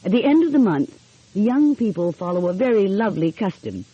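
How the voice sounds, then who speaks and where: A woman voicing a kindly, measured middle-aged lawyer father in an audiobook mystery. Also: medium pitch, big pitch swings, husky, no room sound, dull audio, voice pushed up. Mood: explaining.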